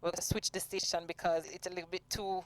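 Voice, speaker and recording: A woman's voice: on the low side, little pitch movement, fast talker, low-quality recording. A woman with a Jamaican accent, talking earnestly and expansively as a singer being interviewed. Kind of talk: conversational radio interview.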